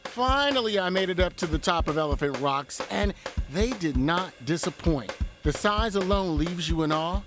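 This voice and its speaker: A high-voiced man presents an upbeat travel piece with playful, self-deprecating delivery. Music runs clearly underneath his voice as he hosts. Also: expressive, moderate pace. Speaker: host of a local travel segment. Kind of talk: upbeat scripted travel narration mixed with on-location chat. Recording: music bed behind the voice.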